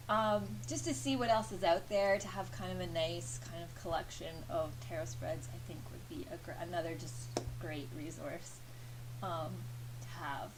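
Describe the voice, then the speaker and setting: A woman's voice: medium pitch, background noise. A woman chatting casually to camera as a vlogger, with lots of fillers and little laughs.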